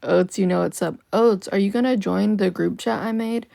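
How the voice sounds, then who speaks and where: A young woman with a lower voice chatting casually with viewers on a livestream, loose and full of "like" fillers. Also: normal speed, creaky, warm tone, no echo, clean studio sound. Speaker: livestream host. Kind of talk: casual live-stream chat with viewers.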